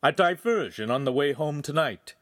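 A man voicing a cruel, mocking nobleman villain in an audiobook, with a smug, contemptuous, drawn-out manner. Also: a little high, expressive, dry recording, clean studio sound, pitched above the performer's own voice, natural voice. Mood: gloating.

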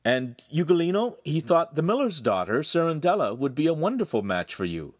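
A man voicing a calm, good-humoured young man in a story, earnest and conciliatory. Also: medium pitch, brisk, no echo, clean studio sound, pitched above the performer's own voice. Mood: persuasive.

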